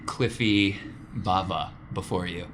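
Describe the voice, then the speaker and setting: A man with a lowish, bright, forward voice narrates a tabletop game as game master. He describes scenes conversationally, with clear background noise in the room. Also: steady tone, slow pace.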